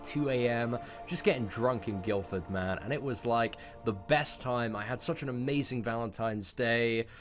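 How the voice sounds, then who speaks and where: A man's voice: medium pitch, a bit bright. A man in his twenties telling a personal story to viewers in a casual, rambling, sweary way, repeating words for emphasis. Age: 20s. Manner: informal, sweary, rambling, emphatic word repetition. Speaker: YouTube content creator.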